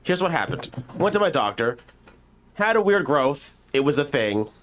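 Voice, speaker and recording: A man's voice: on the high side, very animated, moderate pace, slight background noise. A man co-hosting a podcast, telling a casual, profane, rambling story and often acting out other people's lines in mock dialogue. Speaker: podcast co-host.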